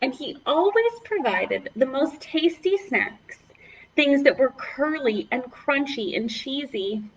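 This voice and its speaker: A woman's voice: high-pitched, lively intonation, slow, far from the mic, dull audio, slight background noise. A woman children's librarian leading a preschool storytime, reading aloud and chatting encouragingly to the children as her friends.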